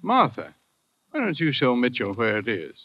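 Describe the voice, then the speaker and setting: A man's voice: medium pitch, huge pitch swings, normal speed, no echo. Matter-of-fact businessman, dry dull old radio sound. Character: businessman who survived a shooting, matter-of-fact. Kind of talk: 1940s radio acting.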